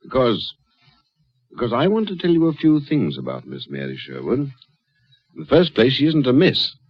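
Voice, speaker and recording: Husky, insistent police inspector, swooping delivery. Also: male voice, medium pitch, huge pitch swings, no room sound, exaggerated voice. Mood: grave, insistent. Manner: theatrical, interrogating, with pointed pauses. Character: shrewd, insistent male police inspector, gravely suspicious. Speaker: actor in a radio drama. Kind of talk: radio mystery drama acting. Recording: dull lo-fi radio drama recording.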